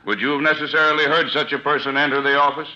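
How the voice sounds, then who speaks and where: An actor playing a smooth, formally spoken defense lawyer with a guilty secret, in 1940s radio style. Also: male voice, on the high side, level tone, fast, pushed and bright. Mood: smooth, probing. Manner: formal, articulate, leading courtroom questioning. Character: smooth defense lawyer, secretly a murderer, turning rattled when cornered. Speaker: defense attorney. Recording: dull vintage 1940s radio recording.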